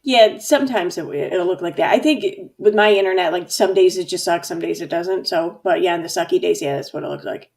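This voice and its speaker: A woman hosting a casual livestream chat, talkative and quick to laugh, reading out viewer comments. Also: lowish voice, vocal fry, a little mellow. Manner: chatty, laughs often, reads out viewer comments. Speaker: host.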